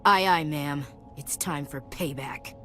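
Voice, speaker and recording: An actress playing a battle-worn but determined marine in a sci-fi video game, earnest and dutiful. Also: female voice, low-pitched, slow pace, fairly bright tone. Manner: earnest, dutiful military delivery. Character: battle-worn, determined young female marine gunnery sergeant. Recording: faint game music behind.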